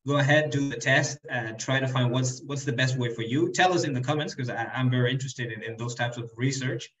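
A man's voice: medium pitch, a little fast, fairly bright tone, slight background noise. A young man, a guest Arabic teacher on a video call, talking earnestly and advising viewers, often repeating words as he goes. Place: indoor room. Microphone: desk microphone on video call. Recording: roomy, dull video-call audio.

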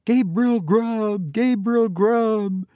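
A man voicing a wild chorus of unseen goblins in a story, chanting in drawn-out, screaming tones. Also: high-pitched, dark tone, dry recording, clean studio sound, voice pushed up. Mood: wild, accusing.

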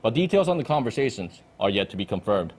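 A man's voice: lowish voice, sing-song, quick pace, a little mellow. A man in his thirties delivering a live news report as a correspondent from an indoor briefing room. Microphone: handheld-style reporter mic on desk.

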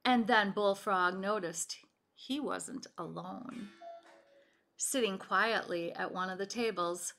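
A middle-aged woman reading a children's story aloud with deliberate, dramatic expression. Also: mid-range voice, fairly bright tone, a little hiss or hum. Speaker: picture book reader. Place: home kitchen, indoors.

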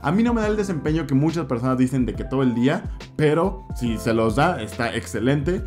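A young man with a Mexican Spanish accent chats through his favorite scents, gesturing and repeating words for emphasis. His voice sits at an ordinary middle pitch. Soft guitar music plays faintly under him in a small indoor room. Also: animated, a little fast. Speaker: fragrance reviewer. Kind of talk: casual review vlog. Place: indoor room with shelves of perfume bottles. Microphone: desk mic in front, camera at mid distance.

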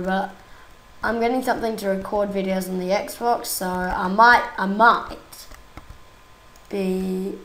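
A young woman with a croaky voice from a cold, chatting casually and giggling while she plays a game. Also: mid-range voice, echoey room. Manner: chatty, giggly, easily exasperated. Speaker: gaming YouTuber. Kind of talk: casual gameplay commentary.

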